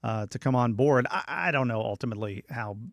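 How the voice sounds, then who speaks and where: A man hosting a radio show, giving casual news commentary in a conversational, halting way with repeated words, close on a studio mic. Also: medium pitch, lively intonation, vocal fry, no echo. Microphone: close studio microphone.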